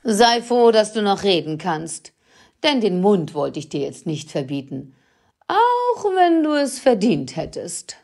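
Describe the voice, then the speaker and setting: Actress doing a mean old witch grandma in a radio play, taunting, really slow and singsong. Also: mid-range voice, big pitch swings, a little mellow. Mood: scolding. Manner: taunting, drawn-out delivery. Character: wicked old witch grandmother, mocking and domineering. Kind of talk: German audio drama acting.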